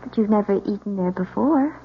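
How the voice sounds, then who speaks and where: An actress playing an anxious young newlywed wife in an old-time radio mystery, halting and pleading, repeating herself. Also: female voice, medium pitch, expressive, slight background noise, natural voice. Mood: uneasy, evasive. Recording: dull old radio recording, faint music.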